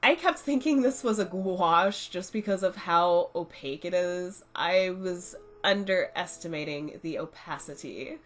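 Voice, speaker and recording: A woman's voice: fairly low pitch, measured pace, hardly any pauses. Congested woman with a cold. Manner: chatty, relaxed, explaining as she goes. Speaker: art channel host. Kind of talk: casual art review voice-over.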